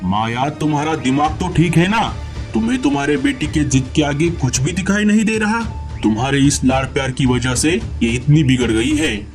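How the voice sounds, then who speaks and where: A man's voice: on the high side, animated, moderate pace, soft background music, natural voice. A man voicing an aging, principled bird king in a cartoon story, stern and responsible in manner. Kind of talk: Hindi cartoon story acting.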